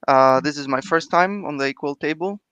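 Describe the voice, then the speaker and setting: Greek-born man, bright crisp voice, hesitant, dull lo-fi line. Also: fairly high pitch, brisk, no echo. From Greece. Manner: hesitant, with many fillers. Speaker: first-time participant. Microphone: video call.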